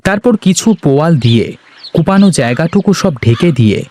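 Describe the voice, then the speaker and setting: A man's voice: a little high, animated, fairly quick, darkish tone, slight background noise. A man narrating a scripted cartoon folk tale as storyteller.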